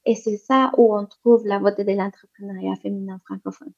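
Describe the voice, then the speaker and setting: A woman's voice: medium pitch, steady tone, fairly quick, vocal fry, a little mellow. A woman answering interview questions about women's entrepreneurship, speaking hesitantly with many fillers and restarts. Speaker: interview guest, economic development representative. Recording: muffled lo-fi sound.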